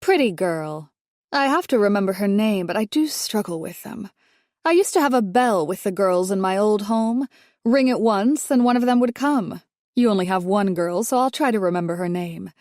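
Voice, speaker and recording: A woman's voice: medium pitch, expressive, normal speed, a little mellow, no echo, studio quality, close to an everyday voice. A woman voicing a wealthy older mother-in-law who talks in a sugary, condescending way, calling everyone dear and darling. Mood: sweetly condescending.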